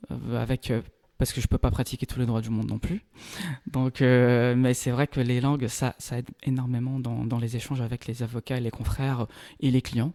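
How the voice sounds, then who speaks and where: Mellow-voiced man in French. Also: mid-range voice. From Brazil. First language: Portuguese. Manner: measured, explanatory, searching for words. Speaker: lawyer and interview guest. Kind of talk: casual interview talk.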